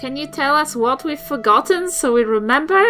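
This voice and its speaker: High, bright New Zealand woman player. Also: expressive, normal speed, soft background music. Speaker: tabletop game player. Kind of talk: casual chat, banter.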